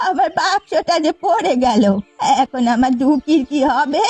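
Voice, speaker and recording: A woman's voice: high voice, expressive, moderate pace, a little mellow. A woman voicing a doting, indignant old village woman in a folk-tale cartoon, exclaiming dramatically. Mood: dismayed, vengeful.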